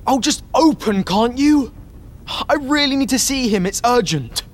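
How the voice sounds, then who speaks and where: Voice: mid-range voice, animated, unhurried, voice pushed up. A narrator voicing an earnest, impatient teenage schoolboy who blurts things out urgently. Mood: pleading.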